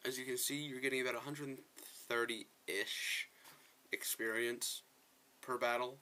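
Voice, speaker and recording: A man's voice: mid-range voice, fairly even intonation, unhurried, sharp tone. A man giving casual gameplay commentary as a video host, chatting to viewers in a rambling, self-correcting way.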